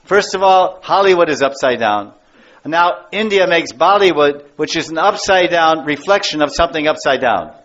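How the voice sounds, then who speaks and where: A man's voice: fairly high pitch, lively intonation, normal speed, a bit bright. An American man giving a devotional lecture as a spiritual teacher, speaking emphatically and repeating key words for stress. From United States. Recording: dull lo-fi lecture recording.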